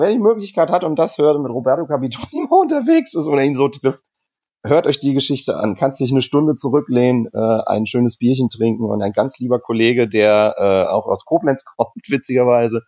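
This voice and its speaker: A man from Hesse with a light Hessian accent chats and jokes in a loose, rambling way. His voice is a bit husky. The recording sounds dull and slightly lo-fi. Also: medium pitch, mellow. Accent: Hessian German. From Germany, Hesse. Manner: joking, rambling, with fillers. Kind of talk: casual podcast chat.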